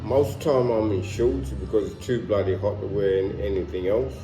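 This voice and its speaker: A man's voice: lowish voice, fairly quick, reverberant, slight background noise, rough recording. A man in his sixties with a London accent talking casually to camera in a vlog, rambling with many restarts. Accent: London English. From London, England. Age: 60s. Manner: rambling, stops and restarts, relaxed. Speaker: vlogger. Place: warm room at home, in Colombia.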